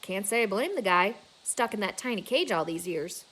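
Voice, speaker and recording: A woman's voice: mid-range voice, animated, normal speed, a bit bright. A woman voicing a weary, dismissive father in a storybook, with an exasperated manner. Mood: unconcerned. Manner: exasperated, sighing. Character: weary, dismissive father who works as a cleaner.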